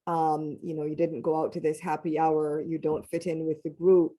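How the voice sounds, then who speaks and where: A woman's voice: deep voice, little pitch movement, normal speed, mellow. A woman with a West Indian accent speaking on a panel, talking emphatically and with animation. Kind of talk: panel discussion.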